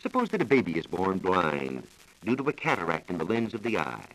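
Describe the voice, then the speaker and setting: An American man giving a scripted science talk on 1940s radio, explaining in a measured, formal manner. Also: deep voice, huge pitch swings, fairly bright tone, poor audio. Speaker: science reporter explaining research. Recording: old 1940s radio broadcast recording.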